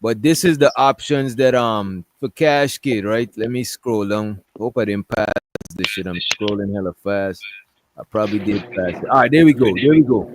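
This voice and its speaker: A man's voice: lowish voice, normal speed, background noise. A man hosting a casual discussion stream, talking loosely and rambling while he pulls up things on screen. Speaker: stream host.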